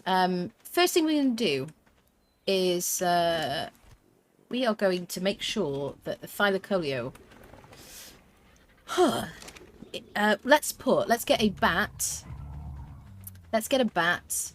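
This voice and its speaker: A woman's voice: fairly low pitch, big pitch swings, slow, long pauses. A woman streaming a survival game, chatting as she plays, thinking aloud with fillers and answering her viewers' comments. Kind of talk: live gameplay commentary.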